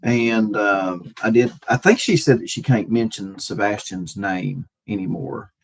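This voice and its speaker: Husky, low-voiced man chatting on a livestream. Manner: hesitant, halting, repeats and restarts phrases. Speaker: livestream host talking with chat viewers. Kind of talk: casual livestream commentary.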